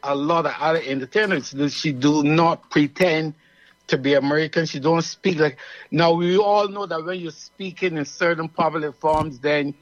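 A man with a Barbadian accent talks earnestly and at length, as if explaining something to a friend on air. His voice sits a little high. The sound is dry and somewhat muffled. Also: normal speed, dry recording. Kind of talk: casual radio chat.

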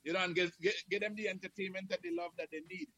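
A man from the Caribbean chatting casually as a guest on a video call, lively and laughing, sometimes breaking into a sung line. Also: high-pitched, very fast, dry recording. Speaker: guest entertainer.

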